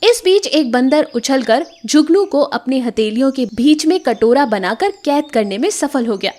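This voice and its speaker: A woman storyteller reading scripted children's moral tales in an expressive way. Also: high voice, unhurried, no room sound.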